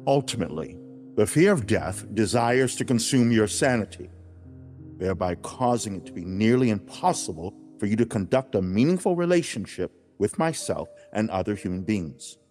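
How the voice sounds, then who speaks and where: A man playing God as a stern, loving, earnest father in a dramatized religious audiobook, speaking emphatically and deliberately with dramatic pauses. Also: lowish voice, lively intonation, darkish tone, faint music behind, natural voice. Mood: grave, warning. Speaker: audiobook narrator.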